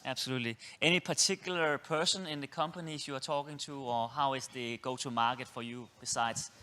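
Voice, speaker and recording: A moderator asking an investor-pitch founder follow-up questions, rambling with restarts. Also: fairly even intonation, a little fast, pushed and bright.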